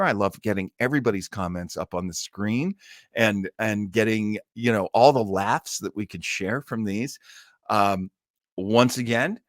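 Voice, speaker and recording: A man's voice: mid-range voice, a bit bright, no room sound, clean studio sound. A man hosting an online show, chatting casually in a rambling, folksy, enthusiastic way as he signs off to viewers. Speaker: host of an online listening-party show. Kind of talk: casual livestream sign-off chat.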